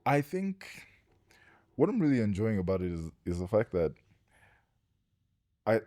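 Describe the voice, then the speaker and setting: Soft-spoken low-voiced man, hesitant. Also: big pitch swings, a little slow, no room sound, clean studio sound. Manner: soft-spoken, hesitant, repeats words while thinking. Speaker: guest, doctor in residency. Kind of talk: casual interview conversation.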